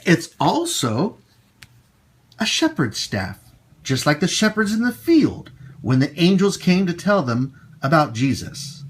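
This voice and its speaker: A man hosting storytime for children, reading and talking to them in an expressive, emphatic way. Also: on the high side, a little hiss or hum. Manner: animated, slow, emphatic delivery for young children. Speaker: storytime host and children's teacher.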